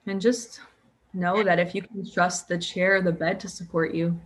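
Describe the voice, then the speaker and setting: A woman guiding a sleep hypnosis session as a hypnotherapist, calm, with drawn-out pauses and audible breaths. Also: on the low side, poor audio. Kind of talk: guided sleep hypnosis, soothing relaxation script.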